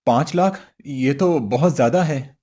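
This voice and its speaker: A woman doing a husky, humble old father. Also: rumbling low, a little slow, mellow, voice pushed down, exaggerated voice. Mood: hesitant. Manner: pleading, apologetic. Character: worried, humble older father of the bride.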